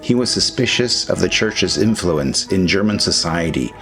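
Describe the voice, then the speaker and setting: A man with a notably low voice delivers scripted historical narration in the role of a documentary narrator. His lines are read as prepared text, with faint music running behind the voice. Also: expressive, normal speed, fairly bright tone, rough recording.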